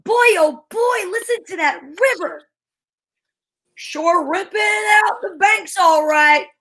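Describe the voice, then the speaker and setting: A woman playing an eager, folksy boy sidekick in an old-time radio serial, with an excitable gee-whiz delivery. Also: very high voice, slow pace, exaggerated voice. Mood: excited.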